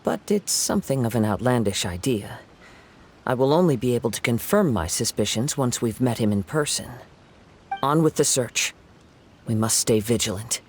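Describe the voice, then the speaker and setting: A man voicing a calm, sharp young detective in a game, laying out his reasoning in a composed, analytical way. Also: medium pitch, moderate pace, a little hiss or hum, lightly acted.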